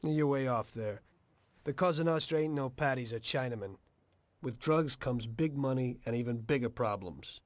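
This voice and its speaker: An actor playing a weary, pragmatic middle-aged mobster in a video game, plainspoken and measured. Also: male voice, mid-range voice, dry recording, close to an everyday voice. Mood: matter-of-fact, firm.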